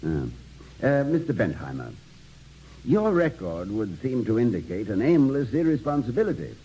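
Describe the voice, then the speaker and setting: Courtly college president played by a man, dry wit, hesitant politeness, expressive intonation, hissy vintage radio recording. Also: mid-range voice, moderate pace, lightly acted, low-quality recording. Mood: courteous, dry. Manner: polite, hesitant, dryly witty. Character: courteous, gently witty, kindly college president. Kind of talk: 1950s radio comedy-drama acting.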